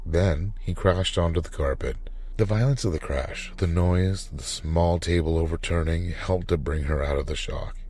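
A man narrating a scripted story in a measured, dramatic manner. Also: low voice, a little mellow, breathy edge.

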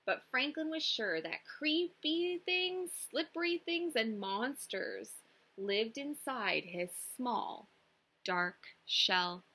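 A young woman reads a children's storybook in a bright voice. Her delivery is slow and deliberate, with very animated intonation and clear teacherly emphasis. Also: fairly high pitch. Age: young adult. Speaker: teacher reading a picture book. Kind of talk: expressive storybook read-aloud for children. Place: small indoor room with classroom wall displays.

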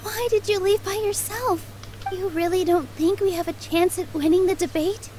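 A woman voicing a gentle, caring young dancer in a game dub, speaking in a soft, earnest way. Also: very high-pitched, fairly even intonation, moderate pace, slight background noise. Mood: concerned.

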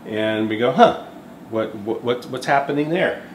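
A man's voice: medium pitch, a little slow. A man in his fifties, a shop owner, talking candidly on camera in a workshop, thinking aloud with fillers and restarts. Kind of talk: casual on-camera explanation. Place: indoor workshop beside a welding machine. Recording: echoey workshop, faint background hum.

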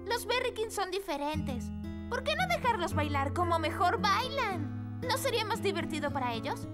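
A kind, cheerful little girl from a children's cartoon. Her voice is very high and swings expressively. Music plays prominently behind her. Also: moderate pace. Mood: earnest, encouraging. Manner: sweet, expressive, girlish. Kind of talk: dubbed children's cartoon acting.

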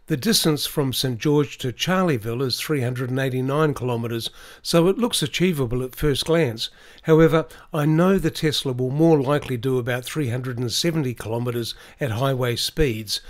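A middle-aged man giving a calm, explanatory voice-over about planning a trip. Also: medium pitch. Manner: measured, explanatory. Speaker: vlogger. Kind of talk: scripted voice-over narration.

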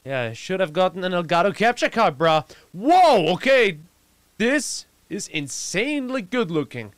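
A man's voice: high voice, sing-song, normal speed, dry recording. A young man giving casual, joking and slangy reaction commentary into a close desk microphone. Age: young adult. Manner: slangy, mocking. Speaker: reaction video host. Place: indoor home streaming setup. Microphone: close desk microphone on arm.

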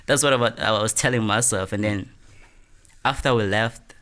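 Casting: young South African male, fairly low voice, relaxed conversational podcast guest, close-miked. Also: moderate pace. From South Africa, Mpumalanga township. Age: young adult. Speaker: guest musician, rapper and producer. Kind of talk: casual interview chat. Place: small studio room indoors. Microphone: studio microphone on boom arm, close.

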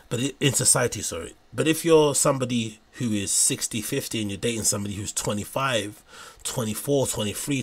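A man's voice: mid-range voice, slightly rough. A man commenting casually on a livestream, rambling and hesitant with many fillers. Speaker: streamer reacting to clips.

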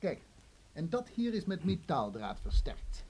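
A man's voice: fairly high pitch, very animated, measured pace, a little mellow, slightly rough, heavily acted. An actor playing a deferential, obedient henchman to a crime boss in a radio play. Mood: servile, eager. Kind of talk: vintage Dutch radio play acting.

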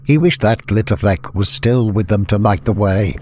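A man's voice: lowish voice, moderate pace, darkish tone, a little hiss or hum. A man reading scripted fantasy story narration as an audiobook narrator.

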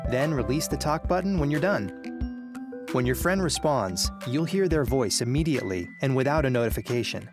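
A man's voice: medium pitch, animated, normal speed. A man narrating a scripted step-by-step tutorial. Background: music.

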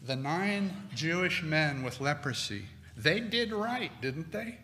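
A middle-aged man preaching a sermon from a pulpit, slow and deliberate with emphatic pauses. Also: on the high side, expressive, sharp tone. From United States. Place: church sanctuary with stone wall, at a pulpit. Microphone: pulpit microphone. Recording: long hall reverb.

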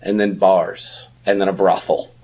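A man's voice: deep voice, fairly even intonation, a little slow, darkish tone. A man in his thirties talking casually as the co-host of a podcast. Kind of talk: casual chat.

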